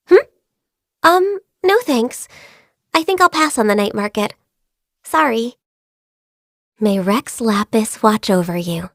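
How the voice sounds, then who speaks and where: An actress voicing a gentle, shy and diligent young female secretary in a video game, soft-spoken, earnest and a little hesitant. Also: sits high, no echo, studio quality, full character voice. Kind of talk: video game character voice lines. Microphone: close studio mic.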